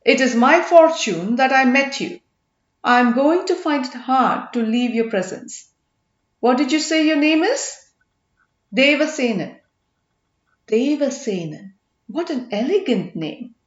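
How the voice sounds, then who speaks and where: A woman's voice: sits high, animated, a little slow, echoey room, lo-fi sound. A woman voicing a scheming, derisive middle-aged male commander in an audiobook. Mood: mocking. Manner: derisive, needling.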